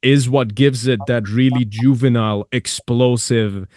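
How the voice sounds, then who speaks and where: A man's voice: medium pitch, steady tone, a little slow, a little mellow, no room sound, studio quality. A man from Iran hosting a podcast, talking casually in an earnest, emphatic way. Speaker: podcast host. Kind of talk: casual interview talk.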